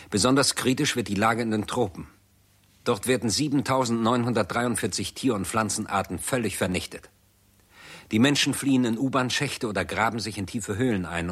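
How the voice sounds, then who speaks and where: An actor narrating a radio drama as a grave chronicler, in a measured, sober, matter-of-fact manner. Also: male voice, lowish voice, breathy edge. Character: grave male chronicler of a world catastrophe.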